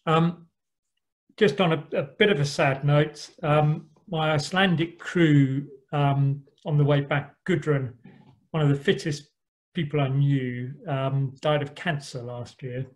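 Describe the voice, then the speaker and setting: A middle-aged man giving a relaxed slide talk about a sailing voyage, with frequent ums and dry asides. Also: on the high side, unhurried. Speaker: yacht club speaker. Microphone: online call microphone.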